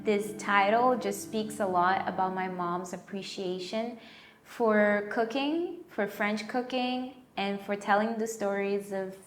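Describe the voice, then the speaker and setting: A young adult woman from Brazil, an architectural designer and artist, explaining her work calmly in a documentary interview. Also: medium pitch, takes it slowly. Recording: roomy with music bed.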